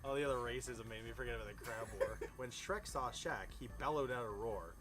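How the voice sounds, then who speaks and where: A man's voice: medium pitch, a little fast. A man reading a story aloud in storybook style, amused and incredulous, given to breaking off with his own comments. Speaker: reader of a fan fiction story. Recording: music playing behind the voice. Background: music.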